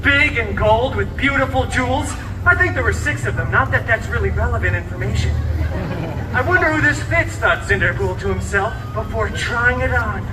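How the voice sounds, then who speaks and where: A man's voice: very high voice, expressive, moderate pace, background noise. A man playing a wisecracking, hyperactive antihero who tells a comic bedtime story theatrically, with sarcastic, camp asides to the audience. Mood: playful, boastful. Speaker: theme park live show performer. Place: outdoor theme park show, amplified, filmed from the audience. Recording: loud music and crowd, distant outdoor amplified sound.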